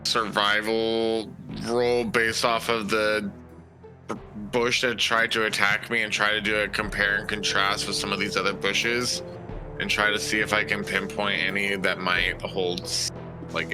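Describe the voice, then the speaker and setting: A man's voice: fairly low pitch, little pitch movement, normal speed, low-quality recording. A man taking part in a tabletop game as a player, chatting casually and thinking aloud hesitantly. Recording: game music playing behind.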